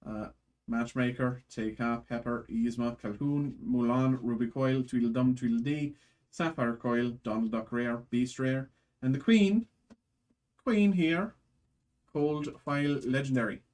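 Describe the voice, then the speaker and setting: A man's voice: mid-range voice, measured pace, darkish tone. A man hosting a live card break in a put-on Irish accent, rattling off card names from each pack.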